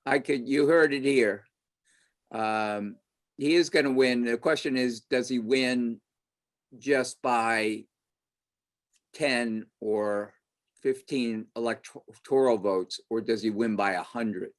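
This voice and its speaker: A man hosting an online panel discussion, talking in an animated, opinionated way and thinking aloud. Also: medium pitch, slow.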